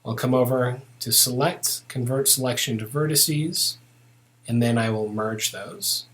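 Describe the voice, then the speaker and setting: Man calmly narrating a software tutorial. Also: medium pitch, measured pace. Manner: relaxed, methodical, narrating each step as he works.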